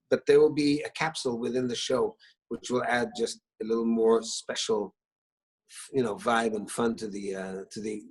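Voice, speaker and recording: A man's voice: medium pitch, husky, low-quality recording. A middle-aged man born in Israel whose first language is Hebrew, talking at home over a video call, with a lot of restarts and fillers as he tells stories. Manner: hesitant, many restarts and fillers, warm storytelling. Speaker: singer-songwriter guest. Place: his living room at home.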